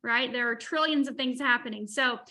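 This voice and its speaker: A woman's voice: a little high, moderate pace, bright, forceful tone. A woman in her forties explaining study results enthusiastically in an online presentation. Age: 40s. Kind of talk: presenting research in a webinar.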